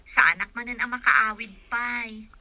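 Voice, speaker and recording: Young female caregiver, played by a woman: highish, bright, polite, gently concerned radio drama voice. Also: fairly even intonation, normal speed. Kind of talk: Ilocano radio drama acting.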